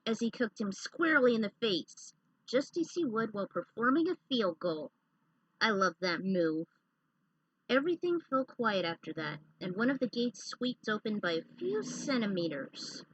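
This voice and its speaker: A woman's voice: medium pitch, big pitch swings, normal speed, a bit bright, breathy edge, no room sound, lo-fi sound. A woman hosting with casual commentary and reading a story she has written out. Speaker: host and fan fiction reader.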